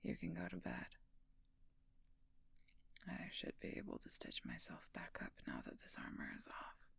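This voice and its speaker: A woman playing a wounded, protective female werewolf knight in an intimate audio roleplay, speaking in a breathy, husky, gravelly whisper. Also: extremely low pitch, huge pitch swings, moderate pace, a bit bright, no echo, exaggerated voice. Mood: tired, relieved.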